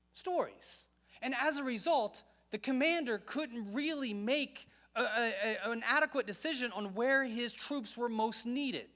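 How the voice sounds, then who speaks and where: A man's voice: very high voice, a bit bright. A middle-aged man giving a conference talk to a church audience, conversational and joking, with asides. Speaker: missions conference speaker.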